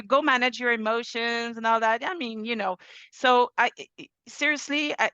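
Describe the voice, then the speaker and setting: Earnest Québécois woman doctor, smooth dry voice. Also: a little high, sharp tone, no room sound, highs cut off. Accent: Québécois French. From Quebec, Canada. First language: French. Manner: earnest, many restarts, laughs easily. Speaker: family physician guest. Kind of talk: interview answers.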